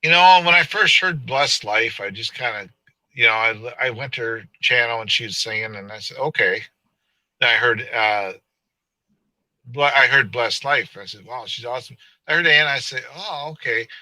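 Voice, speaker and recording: A man's voice: mid-range voice, moderate pace, sharp tone, dry recording, rough recording. A man hosting a casual live stream, rambling and joking with his viewers and a co-host. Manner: rambling, joking, laughs often.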